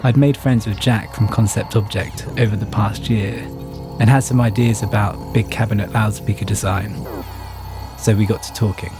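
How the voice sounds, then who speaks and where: A man with a fairly low voice narrates his own story in the first person. The delivery is scripted, and music plays clearly behind him. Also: steady tone, normal speed.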